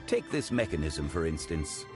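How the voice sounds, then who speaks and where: An actor playing a composed, erudite antiques consultant in a video game, speaking formally and with measured eloquence. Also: male voice, rumbling low, very animated, music in the background. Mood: calm, courteous. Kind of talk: scripted game dialogue.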